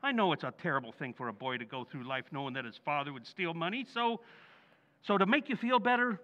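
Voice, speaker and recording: Casting: male actor as bumbling middle-aged American dad for vintage radio comedy, high voice swooping anxiously, flustered pleading, slightly dull recording. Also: animated, fast, close to an everyday voice. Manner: flustered, pleading, colloquial working-class talk. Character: bumbling, guilt-ridden middle-aged American husband and father. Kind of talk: old-time radio comedy acting.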